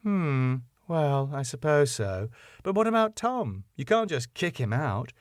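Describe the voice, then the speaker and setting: A man's voice: a little high, sing-song, measured pace, no room sound. A man voicing a dry, sceptical, sardonic wife of a club owner in a sitcom script reading. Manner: sardonic, questioning.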